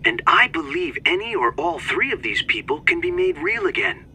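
An actor playing an earnest young male researcher, speaking in measured, formal phrases in a video game cutscene. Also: very high voice, huge pitch swings, vocal fry, bright, forceful tone, slight background noise, exaggerated voice. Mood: scholarly, intrigued. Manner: measured, precise, formal reading.

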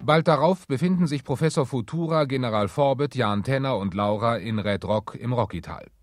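A man's voice: medium pitch, a little fast, faint music behind, lightly acted. A man narrating an adventure radio play as its scripted storyteller. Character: storyteller of an adventure audio drama.

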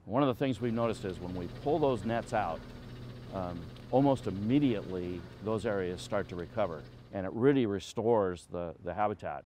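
Deep-voiced man explaining in an interview. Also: normal speed, dark tone. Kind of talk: documentary interview. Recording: faint engine and outdoor noise.

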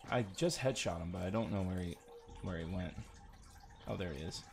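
A man's voice: moderate pace, creaky, a little mellow. A man giving casual, rambling gameplay commentary with joking asides to viewers. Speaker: gamer recording a playthrough. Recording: noisy, uneven low-level home recording.

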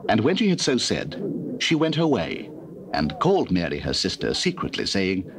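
A British man narrates a scripture drama in a measured, solemn manner. His voice is low and richly varied in pitch, with faint cassette hiss. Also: wildly swooping pitch. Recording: hissy old cassette recording.

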